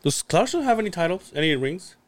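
A man with a slightly high voice that leaps wildly up and down, tossing out profane wisecracks. The sound is dry and close-miked. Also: sing-song, brisk, no echo. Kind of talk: crude casual banter.

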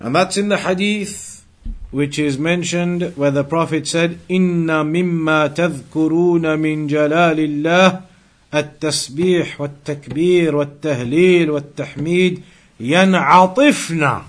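A man's voice: fairly high pitch, steady tone, very fast. A man giving an Islamic lesson as a religious teacher, explaining emphatically and repeating and rephrasing his points. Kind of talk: Islamic lesson with recited Arabic quotations and English explanation.

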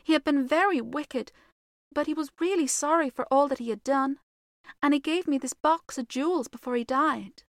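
Young storybook girl played by a woman: higher voice, grave, gentle, quietly earnest. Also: normal speed, no room sound, studio quality. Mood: solemn.

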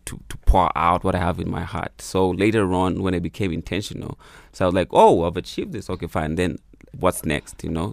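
Deep gravelly Kenyan-raised man, reflective. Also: dry recording, studio quality. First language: Swahili. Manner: reflective, many fillers and restarts, laughs easily. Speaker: musician guest. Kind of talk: casual interview.

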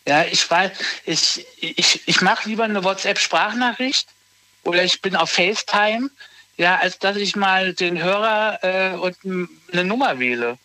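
High-voiced hesitant man in his thirties. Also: measured pace, bright, forceful tone, dry recording, low-quality recording. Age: 30s. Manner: hesitant, restarts often, lots of fillers. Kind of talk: casual conversation.

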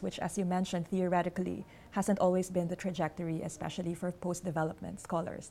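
A woman hosting a scholarly interview, speaking in measured, academic phrasing. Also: fairly low pitch, warm tone, slight background noise. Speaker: interviewer, host.

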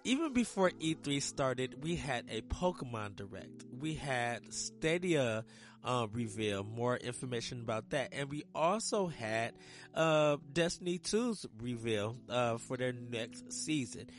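A man hosting a casual solo gaming podcast, a little hoarse from a sore throat, rambling loosely with many ums and uhs. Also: medium pitch, animated, a little slow, faint music behind. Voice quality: raspy. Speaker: podcast host.

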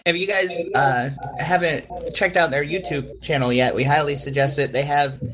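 A man's voice: a little high, fairly even intonation, moderate pace, crowd noise, rough recording. A man hosting a podcast, talking in an easygoing, upbeat way. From United States. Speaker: podcast host. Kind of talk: casual podcast chat.

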